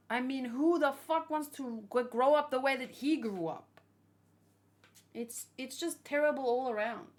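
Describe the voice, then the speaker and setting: A woman hosting a read-along, giving casual, emotive commentary in a conversational way that often trails off mid-thought. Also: on the high side. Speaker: host of a manga read-along. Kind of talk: casual reaction commentary, reading aloud.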